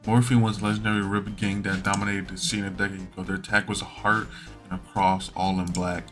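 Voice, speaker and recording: Male let's-player, lowish pitch, casual slangy read-aloud of game text, music underneath. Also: level tone, a little fast, a bit bright. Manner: acts out lines in slangy casual speech. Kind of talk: let's play, reading dialogue and narration aloud.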